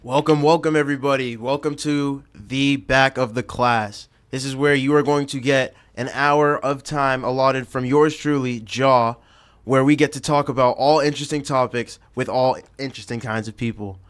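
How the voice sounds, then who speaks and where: A young man of about nineteen hosts a roundtable chat. His voice is smooth and clear, at a middling pitch for a man. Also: fairly even intonation, normal speed, fairly bright tone. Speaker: talk show host.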